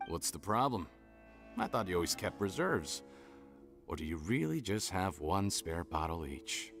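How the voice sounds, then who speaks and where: A ruthless criminal schemer for a video game. He talks down to everyone with a theatrical, mocking sneer, his pitch moving in lively swoops. Music plays behind him. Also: measured pace, heavily acted. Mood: cold. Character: scheming, ruthless male criminal with marred face, smug and mocking. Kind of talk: video game character voice acting.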